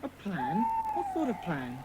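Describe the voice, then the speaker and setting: A lively, brisk schoolboy played by a woman, confident and a little bossy as leader of his club. The delivery is authoritative, in a children's audio drama, over clear background noise. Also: mid-range voice. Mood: inquiring, reassuring.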